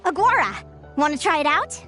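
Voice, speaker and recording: A woman's voice: high-pitched, sing-song, a little fast, loud music behind the voice. A woman voice acting a peppy, encouraging young female creature trainer in a game, bubbly and friendly in delivery.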